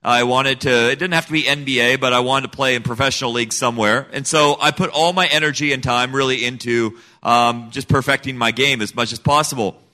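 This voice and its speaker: Earnest bright-voiced middle-aged man. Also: mid-range voice, steady tone, brisk, few pauses. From Senegal. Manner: earnest, emphatic storytelling. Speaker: guest, missionary kid. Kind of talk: personal testimony in an interview.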